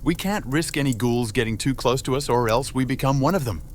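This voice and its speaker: A man's voice: mid-range voice, fairly quick, a little hiss or hum, voice pushed up, lightly acted. A man voicing a pompous male royal guard who scolds in a prim, stuffy manner. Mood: scolding.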